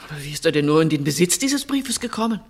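A woman's voice: low-pitched, big pitch swings, fast. An actress playing an older mother, composed but anxious and probing, with formal phrasing in a German radio play. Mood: concerned. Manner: formal, questioning.

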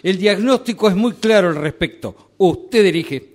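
Guy playing blunt argentine doctor in a radio play, high pitched for a man, very lively intonation. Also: a little slow. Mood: stern. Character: blunt, matter-of-fact doctor giving orders. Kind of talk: radio drama acting.

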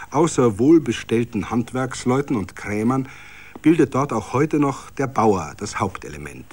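A man reading scripted literary narration for a radio play in a measured storytelling manner. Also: lowish voice, breathy edge. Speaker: narrator and reader of all parts.

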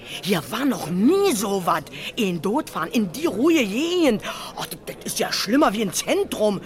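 A nosy working-class Berlin woman played by an actress in a radio crime drama. She talks garrulously in colloquial turns, tripping into repetitions, with a mid-pitched voice. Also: moderate pace, faint music behind, lightly acted. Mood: impatient, curious. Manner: colloquial, garrulous, stammering repetitions when excited. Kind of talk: German radio crime play acting.

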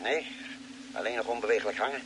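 An actor playing a level-headed, commanding doctor aboard a spaceship in a Dutch radio drama. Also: male voice, on the low side, fast talker, lightly acted. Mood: calm, focused. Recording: noisy old radio drama recording.

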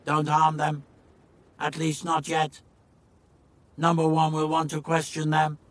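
A male reader acts a stern alien officer in an audio story, raised somewhat above his usual pitch. The figure is officious and suspicious, giving measured orders and questions. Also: monotone. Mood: commanding.

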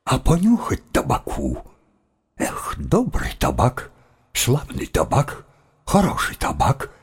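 An actor playing a frightened Cossack seminary student bluffing courage to himself, with a husky, halting mutter in an audio drama. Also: male voice, medium pitch, wildly swooping pitch, heavily acted. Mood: self-soothing. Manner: halting inner monologue, half-whispered.

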